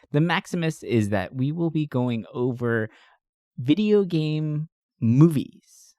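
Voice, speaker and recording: A man's voice: fairly high pitch, expressive, slow, mellow, no room sound, clean studio sound. A man hosting a podcast in a casual, jokey, enthusiastic and chatty style. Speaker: podcast host.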